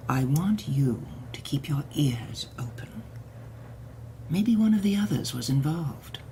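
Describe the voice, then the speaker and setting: A woman's voice: low voice, animated, slow, warm tone, slight background noise, heavily acted. A woman playing a stern, controlling older woman who runs a reeducation center, coldly sweet and menacing. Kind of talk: audio drama acting.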